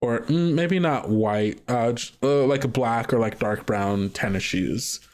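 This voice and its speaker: A man's voice: medium pitch, measured pace, a little mellow. Male game master, casual tabletop podcast, middle-range voice, hesitant improvised narration. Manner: hesitant, thinking aloud, trailing off.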